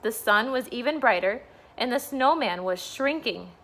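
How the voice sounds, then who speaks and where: A woman's voice: on the high side, lively intonation, normal speed, fairly bright tone. A woman, a school staff member, reading a bedtime storybook aloud for children.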